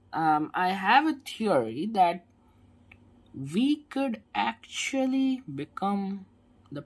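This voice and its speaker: A woman giving casual gaming commentary, hesitant and thinking aloud. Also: deep voice. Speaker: gaming streamer.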